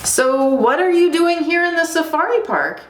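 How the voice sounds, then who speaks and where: A woman voicing excitable, curious safari park animals exclaiming and questioning in a children's storybook reading. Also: very high-pitched, moderate pace, pitched above the performer's own voice. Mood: incredulous. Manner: wide-eyed, exclaiming and questioning. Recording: roomy echo, faint background noise.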